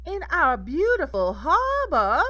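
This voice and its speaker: A woman's voice: high voice, wildly swooping pitch, slow, sharp tone, voice pushed up, exaggerated voice. A woman voicing an indignant old Southern lady in a folksy, scandalized storybook manner. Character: two indignant old Southern beach-dwelling sisters.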